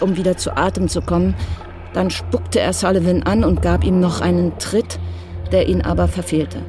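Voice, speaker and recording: A woman's voice: lowish voice, close to an everyday voice. A woman reading scripted third-person narration for a crime radio play.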